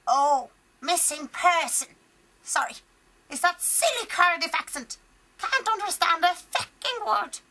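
A young woman voicing a foul-mouthed, gleeful old Irish granny ghost in a radio comedy, with a high, cackling, crude voice. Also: pushed and bright, heavily acted. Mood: grumbling. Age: young adult. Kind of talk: improvised radio comedy acting. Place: large private home.

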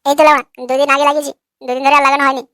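A man voicing an irritable, foul-mouthed young husband in a comic cartoon, with a sharp, artificially raised voice and a combative manner. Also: extremely high pitch, level tone, very fast, no room sound, clean studio sound, pitched above the performer's own voice. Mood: defensive. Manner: combative, crude.

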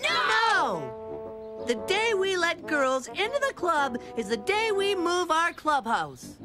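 Bossy cartoon boy, high, bouncy, slow, music behind. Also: wildly swooping pitch, full character voice. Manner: bossy and scheming. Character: bossy chubby boy clubhouse leader. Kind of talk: cartoon voice acting.

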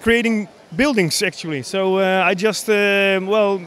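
A man in his thirties from the Netherlands with a Dutch accent, explaining his hobby builds in a casual, halting interview. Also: high-pitched, fairly bright tone, clear, resonant tone. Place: large busy exhibition hall, indoors. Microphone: handheld interview microphone.

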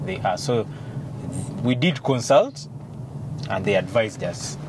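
Ugandan guy in his 40s talking law stuff from the passenger seat, lowish voice, loud road rumble. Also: lively intonation. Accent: Ugandan English. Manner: measured, explanatory, many "uh" fillers. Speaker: law firm partner, guest. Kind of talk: casual interview in a moving car. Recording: loud car road noise. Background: engine and road rumble.

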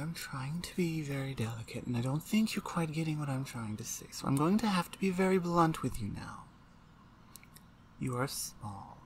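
A woman voicing a blunt, domineering orc shaman, an older sister, in a deliberate, condescending ASMR roleplay. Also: a bass voice, a little mellow, a little hiss or hum. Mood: blunt, stern. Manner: deliberate, pointed, condescending, interrogating. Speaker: audio roleplay voice actor. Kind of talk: ASMR roleplay, one-sided dramatic dialogue.

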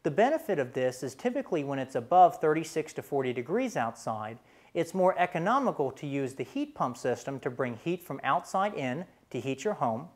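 A man's voice: on the high side, big pitch swings, fairly quick. A man in his thirties with an American accent presents a scripted explainer in a plain, instructional way. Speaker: presenter for a home heating and cooling company.

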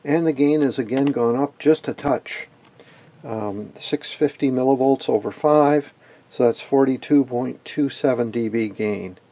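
Guy doing an off the cuff tech demo, gravelly husky voice, halting and matter of fact. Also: mid-range voice, warm tone. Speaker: presenter of electronics demonstrations. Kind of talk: unscripted technical demonstration. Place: indoors at an electronics workbench.

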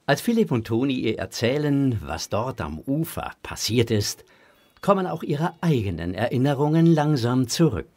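A man narrating a children's audio drama in a measured, expressive storytelling manner. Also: mid-range voice, dry recording.

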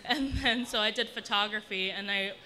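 A young woman with a bright, forward voice at a middle pitch talks casually on a panel. She is candid and irreverent, telling stories in loose, sweary language into a handheld microphone. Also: moderate pace. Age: young adult. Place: indoor event space with brick wall. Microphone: handheld event microphone.

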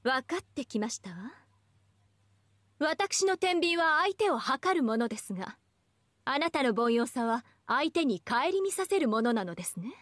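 A woman's voice: sits high, sing-song, measured pace, fairly bright tone, no echo, studio quality, heavily acted. A woman playing a proud young goddess of justice in an anime game, with refined, teasing, ladylike speech. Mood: intrigued, amused. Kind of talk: scripted anime game voice acting.

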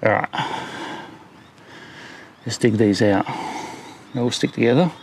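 A man's voice: medium pitch, a little slow, slight background noise. Laid-back man doing car work. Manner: relaxed, thinking aloud, many fillers. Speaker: vlogger. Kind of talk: casual DIY narration while working. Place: outdoors on a suburban driveway. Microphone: camera on tripod, then handheld camera at arm's length.

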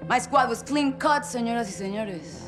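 An actress playing a composed, menacing female cartel boss and ex-soldier in a video game, speaking deliberately with a Mexican accent. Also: on the high side, animated, natural voice. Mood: cool defiance. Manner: deliberate, cool, defiant, sometimes near whisper. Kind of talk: video game cinematic acting. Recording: music behind.

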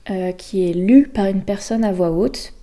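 A woman guest explaining things casually in an interview, with hesitant, halting phrasing. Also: mid-range voice, mellow. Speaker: guest presenting a volunteer project.

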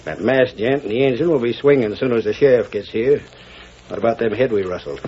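A man's voice: medium pitch, quick pace, a little mellow, close to an everyday voice. A sly ranch foreman played by a male actor drawls in a folksy Western accent. He sounds cocksure, in vintage radio western style, over a muffled recording with faint noise. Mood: smug, sly. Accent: American Western. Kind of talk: 1940s radio western acting. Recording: dull, noisy 1940s radio recording.

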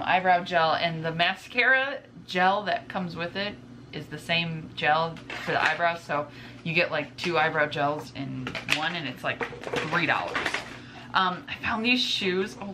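A woman in her twenties chatting casually to camera at home as a vlogger, rambling informally and drifting into asides. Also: on the low side. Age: 20s. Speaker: vlogger, stay-at-home mother. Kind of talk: casual chat to camera, showing groceries. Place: indoors in a home kitchen. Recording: clearly noisy home recording with room ambience.

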